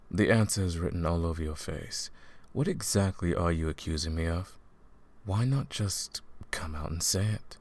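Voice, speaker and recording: A man playing a smug, scheming royal advisor and sorcerer in a fantasy audio roleplay, silky and condescending, with a gravelly voice. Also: low-pitched. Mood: coolly taunting. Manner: silky, condescending, theatrical, drawn-out menacing phrasing. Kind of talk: fantasy audio roleplay, intimate villain monologue.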